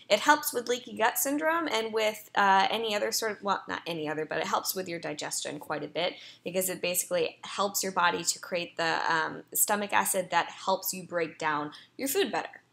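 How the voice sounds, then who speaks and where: A woman's voice: medium pitch, vocal fry, pushed and bright. A young woman, a personal trainer, chatting casually in a voice-over as she walks through her online grocery order, with an upbeat manner and frequent ums and uhs.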